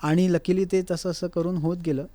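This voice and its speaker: Young male interview guest, slightly high yet soft-toned voice, chatting in a studio. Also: flat delivery, normal speed, no room sound. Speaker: guest vocalist being interviewed. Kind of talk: conversational interview answers, mixing in English. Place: recording studio.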